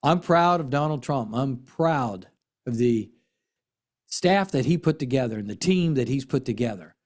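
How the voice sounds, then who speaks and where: A middle-aged man with an American accent, delivering an impassioned commentary monologue in an earnest, emphatic way with dramatic pauses and repetition. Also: mid-range voice, expressive, a little mellow. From United States. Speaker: political commentary host and military veteran.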